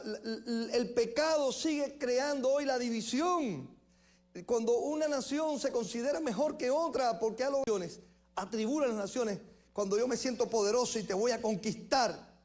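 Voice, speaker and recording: A Cuban pastor delivering a sermon in a notably high voice. He preaches emphatically, stretching out key phrases and stopping to correct himself mid-sentence. His accent is plainly Cuban throughout. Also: a little mellow. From Cuba. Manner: emphatic, with slow stressed phrases and self-corrections.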